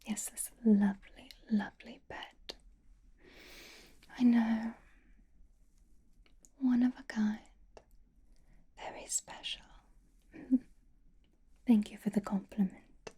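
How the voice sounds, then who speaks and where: Casting: woman as elegant, doting vampiress for ASMR roleplay; unhurried, coaxing, heavily whispered and breathy. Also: a little high, fairly even intonation, dark tone, full character voice. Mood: politely dismissive. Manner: intimate, coaxing, slow and genteel. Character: doting, possessive, elegant female vampire at a gala.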